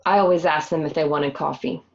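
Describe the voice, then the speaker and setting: Gangster's wife for radio drama, played by a woman: lowish voice, candid, matter-of-fact, slightly dull lo-fi home recording. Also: fairly even intonation, a little fast. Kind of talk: scripted first-person narration in an audio drama.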